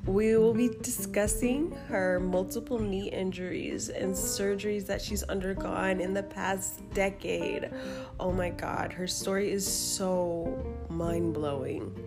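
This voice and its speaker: A woman's voice: mid-range voice, fairly even intonation, slow pace, music in the background. A woman hosting a podcast in a bubbly, casual way, often laughing. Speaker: podcast host.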